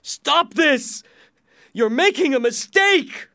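A man voicing a desperate but bold condemned man in a storybook, loudly and dramatically. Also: high voice, sing-song, takes it slowly, voice pushed up, exaggerated voice. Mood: panicked pleading. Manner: loud, dramatic, exclaiming. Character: desperate, quick-thinking man facing execution, bluffing boldly.

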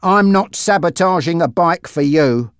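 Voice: medium pitch, expressive, a little slow, clean tone, no echo, studio quality, voice pushed up, lightly acted. A narrator voicing a brave, defiant young man under threat in an audiobook story, with a strained, stubborn manner.

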